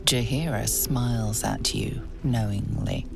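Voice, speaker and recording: A woman playing a measured, knowing storyteller, narrating a dark fantasy game in a deliberate, wry and ominous manner. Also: a bass voice, vocal fry, over music, full character voice. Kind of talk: scripted second-person game narration.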